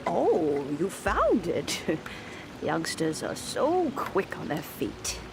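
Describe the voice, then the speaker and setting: A woman voicing a kindly, wise old granny in a video game, with measured, old-fashioned phrasing and a creaky elderly voice. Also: lowish voice, wildly swooping pitch, heavily acted, low-quality recording. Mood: amused, gentle. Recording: game audio with faint music behind.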